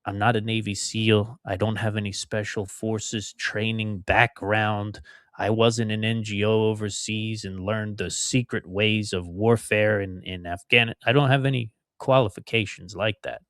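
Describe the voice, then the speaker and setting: A man's voice: fairly low pitch, steady tone, a little slow, a bit bright, no room sound, clean studio sound. A middle-aged man from outside Philadelphia hosting a solo podcast, talking in a rambling, conversational way with emphatic repetitions. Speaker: podcast host.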